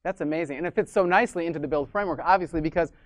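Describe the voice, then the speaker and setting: A man's voice: fairly high pitch, rapid-fire, no echo, studio quality. A man hosting a business interview show, enthusiastic and a little repetitive, speaking from Sydney. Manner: enthusiastic, repeats "amazing", some restarts. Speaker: podcast host. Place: Sydney, Australia. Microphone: video call.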